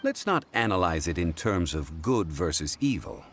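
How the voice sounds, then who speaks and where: An actor playing a calm, learned man of measured wisdom in a video game, speaking in a courteous, unhurried way. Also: low voice, a little hiss or hum, heavily acted. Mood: composed. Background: game music.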